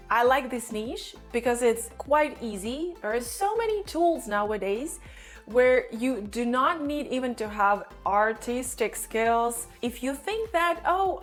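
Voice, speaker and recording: A woman with a fairly high voice addresses viewers as an online video host. She is a non-native English speaker and explains things in a direct, instructive manner. Music plays behind her voice. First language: not English. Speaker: online video host, digital marketing agency owner.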